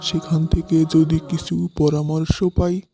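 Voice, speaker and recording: Man playing slow, flat-toned humble cartoon village man. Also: on the high side, vocal fry. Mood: determined. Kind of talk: scripted cartoon dialogue. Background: faint music.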